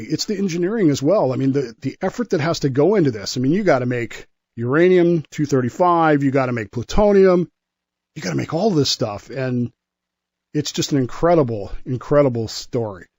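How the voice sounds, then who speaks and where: A man's voice: fairly high pitch, lively intonation, moderate pace, darkish tone, dry recording. A man explaining history in an emphatic, conversational way, as a guest expert on a radio show. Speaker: former nuclear submarine serviceman.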